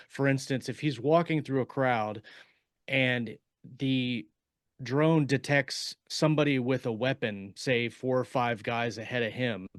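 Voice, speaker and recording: A man on a podcast panel, explaining in casual talk with halting, deliberate phrasing. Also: medium pitch, clean tone, no echo, studio quality.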